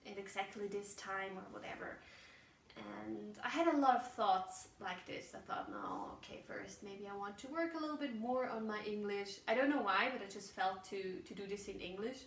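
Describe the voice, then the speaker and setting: A woman's voice: medium pitch, fairly bright tone, slight background noise. A woman with a German accent, a native German speaker, hosting her own podcast in a casual, reflective monologue, musing and searching for words, often restarting her sentences. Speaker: podcast host. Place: outdoors while walking. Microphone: phone voice memo held away. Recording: roomy, distant phone memo with faint ambience.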